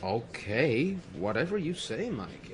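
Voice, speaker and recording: An actor playing a casual, smooth-talking, evasive rival in an audio drama. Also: male voice, mid-range voice, very animated, slow. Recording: busy crowd behind.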